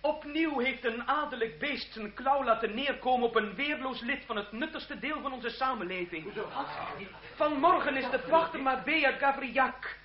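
High-voiced, earnest young seminarian. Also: male voice, moderate pace. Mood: fiery indignation. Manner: declamatory, earnest. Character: earnest, indignant young seminarian, idealistic and fiery. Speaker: radio drama actor. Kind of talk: theatrical Dutch radio play acting. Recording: dull old radio recording, crowd noise.

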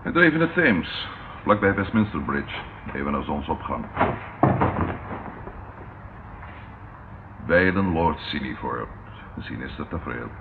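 Deep, gravelly police detective. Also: male voice, very animated, heavily acted. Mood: grave, factual. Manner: deliberate, matter-of-fact. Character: measured, shrewd policeman colleague reporting grim findings. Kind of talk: radio play acting. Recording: muffled, noisy old radio recording.

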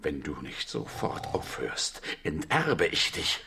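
A grumpy king in a children's radio play, with an extremely low, heavy voice. He swings dramatically through his threats at an unhurried pace. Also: wildly swooping pitch, background noise, full character voice. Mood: grumpy, threatening.

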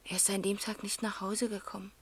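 Eine Schauspielerin spielt im Hörspiel eine verletzte, trotzige Tochter im Teenageralter, offen und stockend, oft leise werdend. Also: female voice, medium pitch, level tone, brisk, husky. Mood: confessional. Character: wounded, defiant teenage daughter, frank and vulnerable. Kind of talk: naturalistic German radio drama dialogue.

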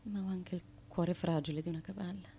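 An actress playing a fragile, bewildered, devoted wife of an ancient tyrant in a radio play, moving between hushed and insistent delivery. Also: female voice, lowish voice, fairly even intonation, brisk, a little hiss or hum, close to an everyday voice. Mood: anguished. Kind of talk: Italian radio theatre acting.

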